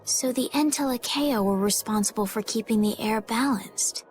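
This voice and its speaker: A woman playing a gentle, polite, concerned young woman in a fantasy video game cutscene. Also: fairly high pitch, moderate pace, natural voice. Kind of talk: English game cutscene voice acting. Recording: music behind the voice.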